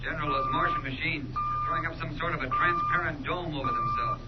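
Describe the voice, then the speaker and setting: A man's voice: fairly high pitch, wildly swooping pitch, brisk. An actor playing an alarmed male military observer reporting with urgency over a field telephone in a vintage radio drama. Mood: tense. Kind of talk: 1950s radio drama acting. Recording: muffled, very noisy field telephone sound.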